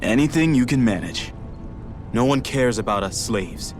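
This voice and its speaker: A man's voice: medium pitch, animated, moderate pace, soft background music, lightly acted. An actor playing an overworked, practical, kindly male organiser of a slave camp in a video game. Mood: busy, earnest.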